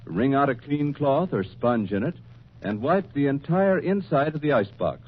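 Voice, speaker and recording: A man with an American accent announcing an old-time radio commercial in a measured, formal sales delivery. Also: mid-range voice, animated. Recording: thin, phone-like old radio recording.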